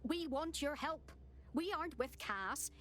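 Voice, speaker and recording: A woman's voice: high-pitched, lively intonation, unhurried, a bit bright. A woman playing a cheeky, sarcastic hacktivist with a British accent, sardonic and blunt, in a video game. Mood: exasperated. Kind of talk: video game cutscene acting.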